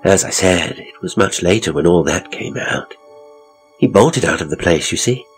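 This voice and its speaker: A man playing a pompous, theatrical ghost tour guide, telling gruesome tales with suspenseful, drawn-out phrasing in a horror audio story. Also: low voice, lively intonation, faint music behind. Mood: sly, theatrical.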